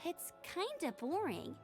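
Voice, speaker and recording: High-pitched peppy young champion. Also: female voice, heavily acted. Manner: bright-spirited, teasing, expressive. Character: peppy, competitive young woman gliding champion. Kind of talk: video game voice acting.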